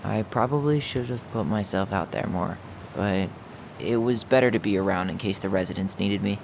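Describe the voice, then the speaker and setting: A man's voice: fairly low pitch, moderate pace, creaky, noisy background. A man playing a guarded, stubborn young brother in understated, casual video game dialogue. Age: young adult. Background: game music.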